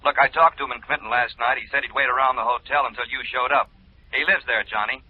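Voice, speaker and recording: A man playing a brisk, businesslike chief insurance investigator in a 1950s radio drama, direct and urging. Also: medium pitch, steady tone, lightly acted. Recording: muffled old radio, faint noise.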